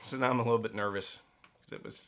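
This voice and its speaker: A man's voice: on the low side, fairly even intonation, quick pace, a bit bright. A man presenting a product review in a casual, conversational way, with emphatic stresses and playful asides. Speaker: reviewer and host of a budget audio gear channel.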